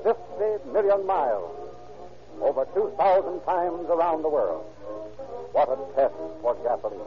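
A man's voice: on the high side, very animated, a little slow, narrow, tinny audio. A man announcing and narrating in scripted 1930s radio style, formal, emphatic and declamatory. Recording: old radio recording with music.

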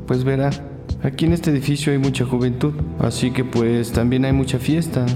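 A man's voice: medium pitch, steady tone, moderate pace, lightly acted. A man playing a helpful, gossipy but slightly wary building doorman in an audio drama, in a reverberant space with music behind. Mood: chatty, obliging. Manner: chatty, obliging. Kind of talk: scripted audio drama dialogue.

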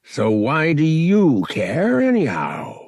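A man's voice: mid-range voice, lively intonation, slow pace, vocal fry, husky, no room sound, clean studio sound, full character voice. A man voicing a boozy, mocking, suspicious male spaceship captain in an audiobook, sputtering and taunting.

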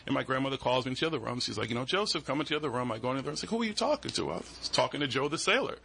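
A man's voice: mid-range voice, animated, racing, gravelly, sharp tone, a little hiss or hum. A man, a podcast guest telling rambling stories in a studio and acting out the voices in them. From Boston. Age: 40s. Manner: rambling, restarts often, acts out quoted voices. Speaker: guest, security business owner. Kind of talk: casual storytelling interview.

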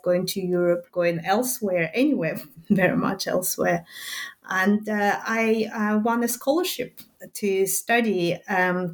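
A woman in her forties from Belarus, a native Russian speaker, answers interview questions in a reflective way. She hesitates often and fills her pauses with ums. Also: medium pitch. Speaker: author and social anthropology lecturer. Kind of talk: interview conversation.

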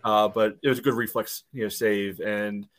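A man with a fairly low voice talks through match action as a podcast panelist. He is casual and halting, often padding his phrases with fillers. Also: fairly even intonation, a bit bright. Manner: halting, peppered with 'you know'.

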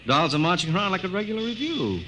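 A man playing a hurried, brusque army colonel and father in a 1950s radio drama. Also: high voice, big pitch swings, bright, forceful tone, a little hiss or hum, heavily acted, rough recording. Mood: brisk. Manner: brusque, dismissive.

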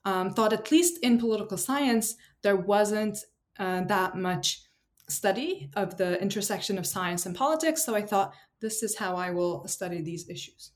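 Thoughtful woman from Turkey, halting. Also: medium pitch. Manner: thoughtful, many ums and restarts. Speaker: political science professor, guest. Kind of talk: academic interview answers.

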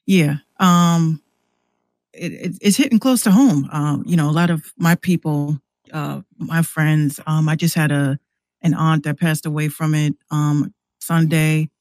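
A woman's voice: low voice, steady tone, measured pace, a little mellow, dry recording. An American woman chatting casually as a podcast guest, informal and a bit hesitant, often repeating words. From New York City, United States. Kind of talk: casual conversation.

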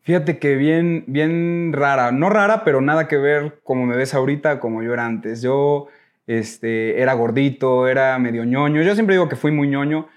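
A man's voice: medium pitch, normal speed. A man from Mexico with a Mexican accent, telling personal stories casually as an interview guest, rambling and correcting himself. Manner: informal, self-correcting, rambling.

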